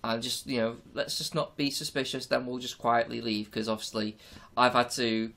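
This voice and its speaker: A man co-hosting a casual film podcast, chatty and animated, quick to laugh. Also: medium pitch, vocal fry. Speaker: podcast co-host. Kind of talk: casual film review chat.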